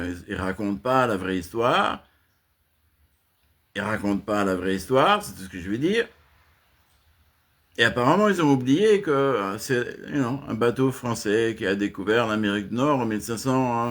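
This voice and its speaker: A man delivering an impassioned spoken rant to his channel, swinging from emphatic high outbursts to low muttered asides, with frequent restarts. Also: a bit bright. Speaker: channel host giving a monologue.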